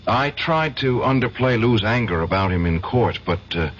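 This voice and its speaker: A man's voice: fairly low pitch, animated, moderate pace, fairly bright tone. A man playing an earnest, grave lawyer with an insistent, pleading manner in a radio mystery drama. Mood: grave, probing. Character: earnest, guilt-ridden male lawyer pressing for truth. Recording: dull, faintly noisy old radio recording.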